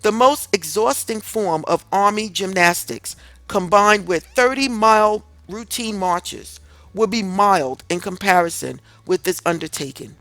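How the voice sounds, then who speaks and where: A woman reads a scripted self-help audiobook in a deliberate, earnest, instructive way. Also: mid-range voice, fairly bright tone, dry recording. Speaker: audiobook reader. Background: music in the background.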